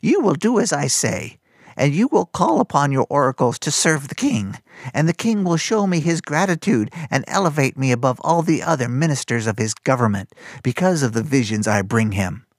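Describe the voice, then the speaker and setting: A man's voice: mid-range voice, sing-song, normal speed, no room sound, studio quality, voice pushed up. A man voicing a cruel, scheming old male master who barks and sneers at his servant boy. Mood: harsh scornful. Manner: harsh, barking, contemptuous.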